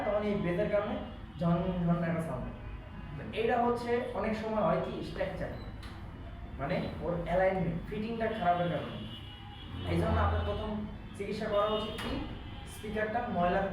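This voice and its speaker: A Bangladeshi man teaches a technical class at a brisk pace, explaining and checking understanding with questions. His voice sits far from the mic in a very reverberant room, with clear background noise. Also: sits high. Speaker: electronics repair instructor. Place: classroom, indoors.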